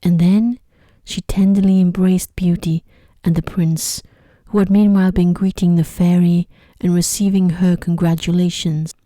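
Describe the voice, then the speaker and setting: A woman reads a sleep story in a low, very mellow voice. She is soft and breathy, often slipping toward a whisper, with a soothing, unhurried delivery. The sound is close and dry. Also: little pitch movement, dry recording. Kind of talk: ASMR bedtime story reading. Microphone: close microphone.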